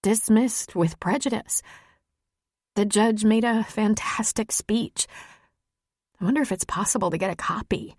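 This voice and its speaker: A woman's voice: mid-range voice, a little slow, pauses often, a little mellow, dry recording, clean studio sound, pitched above the performer's own voice, lightly acted. A woman voicing an earnest, openly emotional adult woman lawyer in an audiobook. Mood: tearful, shaken.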